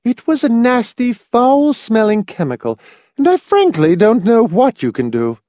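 A woman's voice: a little high, wildly swooping pitch, unhurried, dark tone, no room sound, clean studio sound, pitched above the performer's own voice. A man reading a story, voicing a fussy, gushing, complacent old woman with a prim, matter-of-fact manner. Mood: genteel fussing. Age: older.

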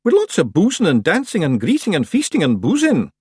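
A man's voice: sits high, huge pitch swings, a little fast, darkish tone, slightly rough, no room sound, clean studio sound, pitched above the performer's own voice, full character voice. A man voicing a small, rowdy, cheerful pictsie chief. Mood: jolly.

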